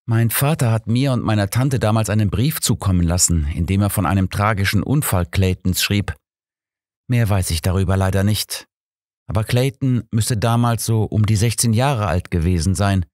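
A man's voice: lowish voice, normal speed, a little mellow, dry recording, clean studio sound, voice pushed up, lightly acted. A man voicing an earnest, sorrowful businessman in an audiobook, polite and explanatory in manner. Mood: sad. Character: earnest, sorrowful businessman from abroad, protesting innocence.

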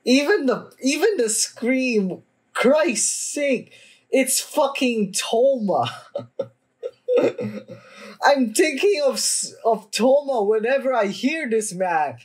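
A young man giving a casual, sweary reaction in a halting, informal way, sitting in a room at home. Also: extremely high pitch, expressive. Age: young adult. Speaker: reaction video host. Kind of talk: casual anime reaction chat. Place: small indoor room at home.

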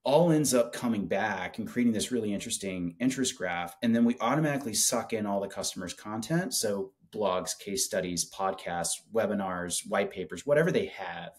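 Enthusiastic man with a lowish voice. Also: lively intonation, fairly quick, few pauses. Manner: enthusiastic, colloquial. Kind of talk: casual product pitch in conversation. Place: small office with whiteboard, indoors. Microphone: video call.

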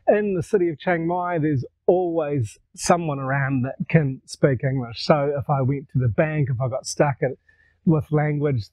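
A man in his sixties with a New Zealand accent, telling stories to camera in a relaxed, conversational way on a lapel mic outdoors. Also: medium pitch, no echo, phone-quality sound. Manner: relaxed, conversational, gestures while talking. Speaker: vlogger. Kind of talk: casual storytelling to camera. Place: outdoors in a garden.

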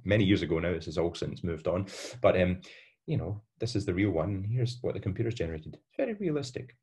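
A man's voice: sits low, darkish tone. A young man with a Scottish accent giving an online university lecture over a webcam, hesitant with many fillers and restarts. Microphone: webcam on a video call.

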